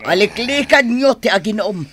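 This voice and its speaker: A woman's voice: medium pitch, animated, normal speed, fairly bright tone, slight background noise, close to an everyday voice. A woman playing a nagging, suspicious wife, scolding insistently in an Ilocano radio drama.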